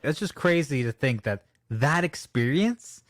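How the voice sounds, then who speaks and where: A man's voice: medium pitch, lively intonation, a little slow, no room sound, studio quality. A man in his thirties from the United States, asking curious questions and making light jokes as a podcast co-host. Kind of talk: conversational podcast interviewing.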